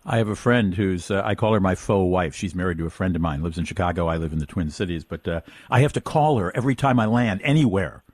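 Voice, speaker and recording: A man's voice: low-pitched, fast talker, few pauses, a little mellow, husky, no room sound. A man hosting a travel radio show, interviewing in a conversational style.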